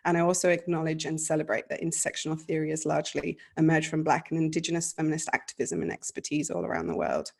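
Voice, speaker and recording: A woman with a slight Australian accent speaking as a panelist, giving earnest, measured remarks on a video call. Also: on the low side, creaky.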